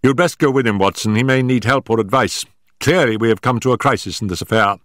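A man voicing a sharp, self-assured male detective who speaks with crisp emphasis in a storybook reading. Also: mid-range voice, quick pace, no room sound, studio quality, pitched above the performer's own voice, natural voice. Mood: commanding. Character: sharp, commanding male detective, middle-aged.

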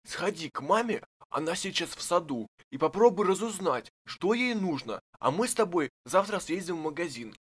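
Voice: medium pitch, normal speed, hardly any pauses, husky. Cartoon father pig actor voicing: absent-minded, easygoing, hesitant, with swooping intonation. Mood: musing. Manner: hesitant, musing, expressive. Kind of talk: children's cartoon dubbing.

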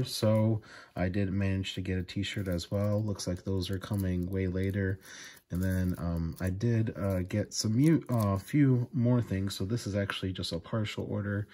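A man's voice: on the low side, steady tone, normal speed, warm tone. A man hosting a casual unboxing vlog, enthusiastic and full of small fillers as he goes through his purchases. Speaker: video host. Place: indoors at a table, off camera.

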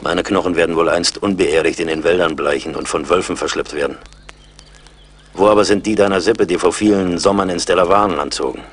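An actor playing a seasoned, steady frontier woodsman in a radio play, with a husky voice and a dry, thoughtful manner. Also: sits low, brisk. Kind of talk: German radio play acting.

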